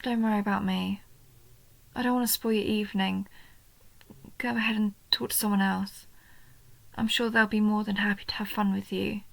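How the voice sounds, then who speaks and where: A woman voicing a shy, sweet, nerdy goblin girl in a soft roleplay audio, hesitant and gentle, often dropping into breathy half-whispers. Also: mid-range voice, no echo, low-quality recording. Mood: apologetic, shy. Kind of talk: roleplay audio, soft intimate story acting.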